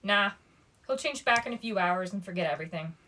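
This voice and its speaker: A woman's voice: medium pitch, expressive, brisk, sharp tone, poor audio. Woman narrator as teenage boy, storybook character, weary, guarded, wry, resigned.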